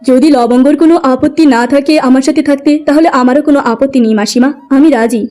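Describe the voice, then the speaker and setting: Woman voicing an earnest young man, high mellow voice. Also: fairly quick, faint music behind, natural voice. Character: kind humble young man, servant secretly a police officer. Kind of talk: cartoon moral story acting.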